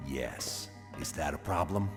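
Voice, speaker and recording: A stern game commander with a very low voice. His briefing is authoritative and no-nonsense, in long reverb with clear music behind. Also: animated, heavily acted. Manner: brisk, authoritative. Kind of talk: video game cutscene acting, mission briefing.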